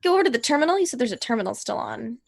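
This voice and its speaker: High-voiced woman playing a tabletop game. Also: expressive, racing, creaky. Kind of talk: casual game chat.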